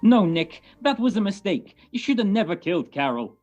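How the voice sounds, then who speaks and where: A woman playing a gruff, sardonic male homicide sergeant with blunt, slangy talk in an old-style detective radio play. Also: mid-range voice, sing-song, normal speed, mellow, lo-fi sound, faint music behind, natural voice. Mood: accusing, mocking. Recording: video call recording.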